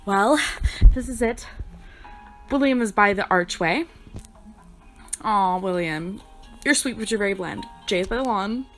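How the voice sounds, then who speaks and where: A woman playing and reading through a story game with playful, excitable commentary, her voice a little hoarse from a sore throat. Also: mid-range voice. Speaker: gaming YouTuber.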